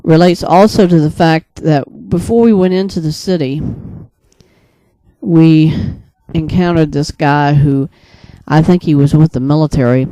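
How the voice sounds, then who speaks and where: A man casually telling an unscripted story to viewers as a video creator, rambling and often halting mid-thought. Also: on the high side, dark tone, dry recording, rough recording. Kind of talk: casual unscripted monologue recounting a dream.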